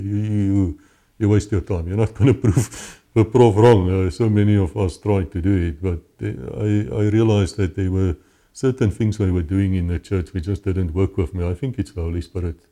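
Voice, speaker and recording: A man's voice: deep voice, mellow. A man with a South African accent, telling his life story as an interview guest, earnest and often restarting his words. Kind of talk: personal testimony in conversation.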